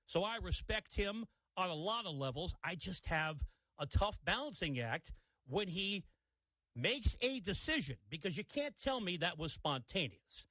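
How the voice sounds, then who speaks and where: Lively, opinionated sports radio man. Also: high-pitched, a little slow, dry recording. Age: older. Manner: opinionated, animated, wry asides. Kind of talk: sports talk radio monologue. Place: radio studio.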